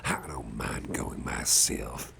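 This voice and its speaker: Man acting very deep, gravelly, caring boss. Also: slow, noisy background, heavily acted. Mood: caring, attentive. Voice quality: deep gravelly murmur, often breathy. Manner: gentle, reassuring, rambling with soft hesitations. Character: big, gruff, caring male boss, protective and friendly. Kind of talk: ASMR personal-attention roleplay.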